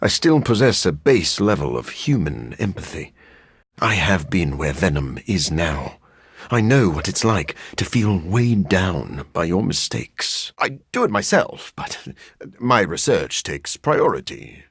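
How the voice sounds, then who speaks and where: A man voicing a brooding, intellectual vampire scientist in a video game, formal and articulate with dry wit and a gravelly, husky voice. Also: low-pitched, big pitch swings, unhurried, no echo, full character voice. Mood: brooding, earnest. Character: brooding, intellectual adult male vampire scientist, dryly witty. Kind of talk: video game character dialogue.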